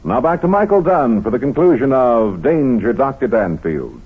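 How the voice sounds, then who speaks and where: A male radio announcer in the 1940s manner, with a dramatically varied pitch. The sound is thin and tinny, with music playing behind him. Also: very animated, moderate pace.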